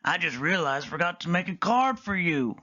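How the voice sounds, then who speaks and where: A man's voice: on the high side, very animated, a little fast, bright, forceful tone, lo-fi sound, voice pushed up. A man voicing an easygoing young boy cat, close to his own speaking voice. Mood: plain.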